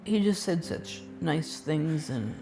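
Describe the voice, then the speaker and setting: A woman's voice: sits low, lively intonation, slow, vocal fry. Documentary interviewee, woman, matter-of-fact, faint guitar bed. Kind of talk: recounting a personal story. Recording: clip with soft music underneath.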